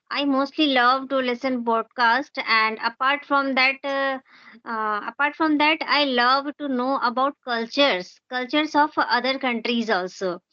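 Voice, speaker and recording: Bright-voiced Indian woman, muffled call. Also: a little high, dry recording. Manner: hesitant, many fillers, earnest. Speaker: English teacher and channel host. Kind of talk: conversational practice interview. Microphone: video call.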